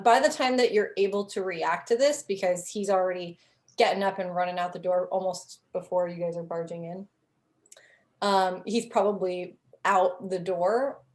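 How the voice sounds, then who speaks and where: A young adult woman narrating as game master in a casual way, full of "like" and "um" fillers. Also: fairly low pitch.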